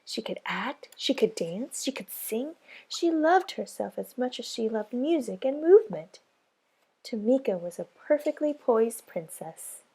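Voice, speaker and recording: Female storybook narrator, preschool teacher type, slightly high voice with some gravelly creak. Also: very animated, measured pace, dark tone. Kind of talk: children's storybook read-aloud.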